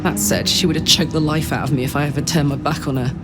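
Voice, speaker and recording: A tough warrior woman, played by an actress with a low voice, speaking bluntly and wryly as a game character. She sounds weary of a hellish past, and dramatic music plays loudly behind her. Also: steady tone, fast, natural voice. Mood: wary, wry. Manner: blunt, wry, informal. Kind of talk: video game voice acting.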